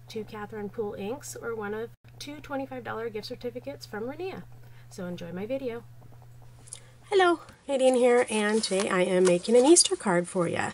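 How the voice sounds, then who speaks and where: A woman's voice: mid-range voice, a little hiss or hum. Chatty woman with a cold. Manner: chatty, unsure asides. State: hoarse from a cold. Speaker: card-making tutorial host. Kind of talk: casual craft tutorial narration.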